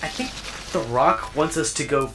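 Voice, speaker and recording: A woman's voice: low voice, normal speed, noisy background, close to an everyday voice. A woman playing a timid, polite, curious robot companion in an audio drama, hesitant and earnest.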